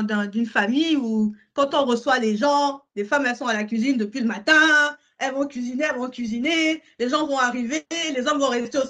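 A woman's voice: high-pitched, fairly bright tone. A woman co-hosting a candid conversation, talking with emphatic repetitions and frequent self-corrections. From grew up in France, born abroad. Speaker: podcast co-host.

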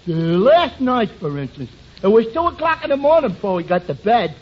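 An actor playing a dim-witted, good-natured barfly with earnest simpleton delivery in a 1940s radio sitcom. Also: male voice, high voice, big pitch swings, brisk. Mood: earnest, dopey. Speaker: comedy supporting player. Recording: muffled, noisy 1940s radio broadcast.

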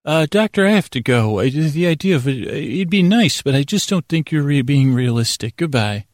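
A man's voice: fairly high pitch, lively intonation, normal speed, dry recording, clean studio sound, natural voice. A man voicing a prim, apologetic institute representative who stammers as he politely turns people down. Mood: politely dismissive. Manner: hesitant, stammering, politely dismissive. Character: prim, apologetic bedtime story institute representative turning down requests.

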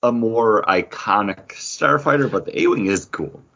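Animated middle-aged man, slightly dull recording. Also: lowish voice, normal speed, creaky. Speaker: podcast co-host. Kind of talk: casual pop-culture podcast chat.

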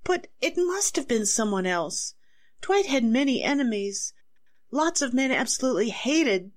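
High-voiced, pleading accused wife, acted by a woman. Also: very animated. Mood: distraught. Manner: halting, pleading, anxious. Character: distraught, pleading woman accused of murdering her husband. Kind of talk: 1940s radio melodrama acting.

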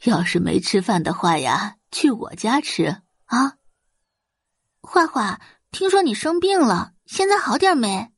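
A woman's voice: fairly high pitch, sing-song, unhurried, slightly rough, no echo, full character voice. A woman voicing friendly, concerned country villagers in an audio drama, with a folksy, solicitous manner.

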